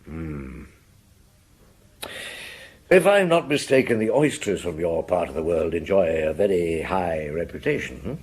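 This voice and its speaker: An actor playing a calm, shrewd, courteous French chief inspector in a radio drama, speaking in a measured, politely probing way. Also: male voice, deep voice, husky, heavily acted.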